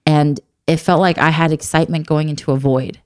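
Woman, thirties, low voice, smooth tone, dry close sound, earnest, reflective. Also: steady tone, fairly quick, dry recording. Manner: earnest, reflective, soft-spoken. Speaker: adoptive mother and guest. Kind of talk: candid conversational chat.

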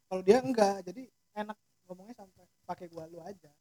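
A young man chatting with friends who answers briefly and plainly. His voice is high and he speaks quickly. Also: mellow, rough recording. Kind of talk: casual chat.

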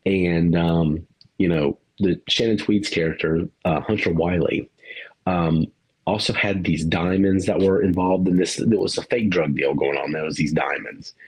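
A man's voice: low voice, normal speed, slightly rough, poor audio. A man co-hosting a podcast, rambling through a movie review in casual chat, laughing and swearing now and then. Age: adult parent. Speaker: podcast co-host.

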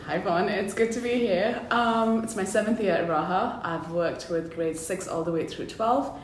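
A woman speaking conversationally in an interview as a school counsellor, recorded in a roomy space. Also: moderate pace. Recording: roomy echo with faint low rumble.

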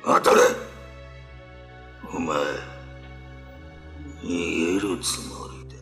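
A menacing man-eating demon in a radio drama. He growls slowly and suspiciously in a low, gravelly voice, with music underneath. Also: fairly even intonation, bright, forceful tone, heavily acted. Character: menacing man-eating demon, long-lost older brother. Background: background music.

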